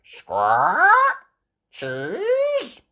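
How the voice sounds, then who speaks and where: Shrill squawking storybook parrot. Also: female voice, huge pitch swings, very slow, pushed and bright, full character voice. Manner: mimicking, repetitive. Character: squawking female pet parrot, copying what people say.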